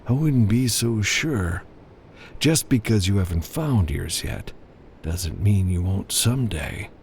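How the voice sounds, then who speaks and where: A man's voice: lowish voice, animated, a little mellow, a little hiss or hum. A man voicing a smug, treacherous male mercenary in an audiobook, with mock regret. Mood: smug, menacing. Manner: slow, mock-regretful drawn-out delivery. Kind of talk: audiobook character dialogue.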